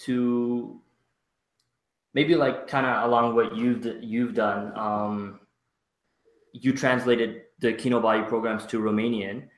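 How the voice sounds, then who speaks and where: A man's voice: mid-range voice, fairly even intonation. Hesitant young man in an echoey room. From Canada. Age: young adult. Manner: hesitant, halting, many fillers. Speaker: guest. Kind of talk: casual conversation.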